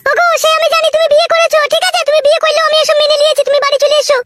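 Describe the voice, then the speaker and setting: A woman's voice: very high voice, flat delivery, racing, fairly bright tone, no echo, clean studio sound. A woman playing a devoted, pleading, long-suffering wife in a comedy cartoon, submissive and insistent.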